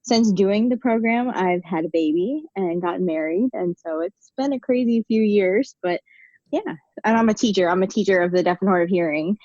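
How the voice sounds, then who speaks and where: A woman's voice: mid-range voice, normal speed, a little mellow, dry recording. Woman, late twenties, from the United States, candid interview guest, dull lo-fi call audio. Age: 20s. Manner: candid, conversational with frequent restarts. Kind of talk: casual interview conversation. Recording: dull lo-fi remote call sound.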